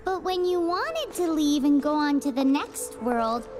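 A woman voicing a tiny cheerful fairy girl guide in an anime video game, with a squeaky childlike voice and perky manner. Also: sing-song, measured pace, noisy background. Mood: curious. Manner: perky, speaks of herself in third person. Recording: game music behind.

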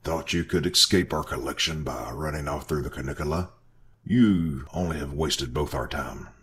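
A relentless masked villain, voiced by a man in a told story. He taunts his captive in a very deep, unhurried voice. Faint noise sits behind him. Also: fairly bright tone. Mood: menacing. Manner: mocking, threatening.

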